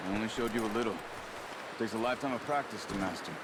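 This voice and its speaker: A man playing a calm, plainspoken outlaw hunter in a western video game, terse and serious, with an American accent. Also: fairly low pitch, quick pace, heavy background noise, natural voice. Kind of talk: video game dramatic dialogue.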